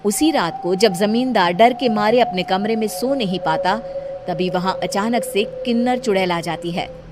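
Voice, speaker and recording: A woman's voice: mid-range voice, moderate pace, heavy background noise. A woman reading scripted story narration as the narrator.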